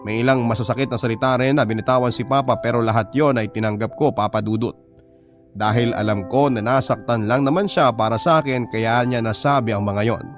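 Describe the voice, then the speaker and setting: A man's voice: mid-range voice, fairly quick. A man with a Filipino accent narrating a personal drama story in the first person, as a storyteller reading a letter. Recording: music bed under the voice. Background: prominent background music.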